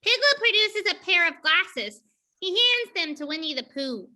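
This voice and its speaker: A woman's voice: very high voice, sing-song, normal speed, pushed and bright, heavily acted. A woman reading stage directions as the narrator of a scripted play reading.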